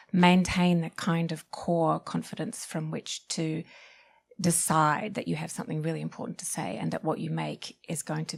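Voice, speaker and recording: A woman with a lowish, husky voice that often dips into creak speaks on a panel. She is reflective and articulate, working through her ideas aloud and stressing what matters to her. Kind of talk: thoughtful panel discussion.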